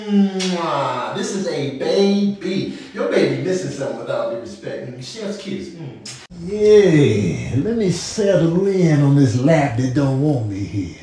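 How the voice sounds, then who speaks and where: A man's voice: fairly high pitch, sing-song, takes it slowly, warm tone, echoey room, a little hiss or hum, rough recording. A man doing comic voiceover commentary in a slangy, exclamatory manner.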